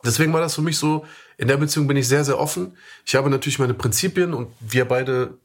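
A husky man from Germany co-hosting a podcast at an average pitch. He talks in a relaxed, reflective way, with frequent fillers and a steady stream of agreeing "ja"s. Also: quick pace. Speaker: podcast co-host. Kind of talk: casual conversational podcast.

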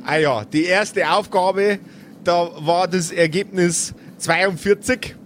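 A man's voice: high voice, slow, slight background noise. A man with a Bavarian accent voicing a wordy, cocky teenage schoolboy in an improvised radio play. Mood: smug, wordy. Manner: wordy, mock-polite, argumentative. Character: cocky, talkative well-bred ninth-grade schoolboy.

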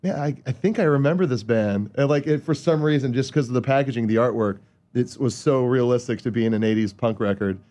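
A man's voice: medium pitch, brisk, mellow. A man from Hawaii chatting casually in a loose, informal way. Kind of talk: casual chat.

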